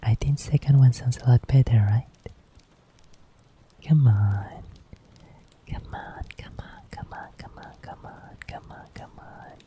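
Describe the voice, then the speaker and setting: Clingy, teasing bedtime partner in a hushed, slow whisper, as a streamer puts it on. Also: medium pitch, close to an everyday voice. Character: clingy sleepy partner coaxing someone back to bed. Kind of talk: ASMR roleplay.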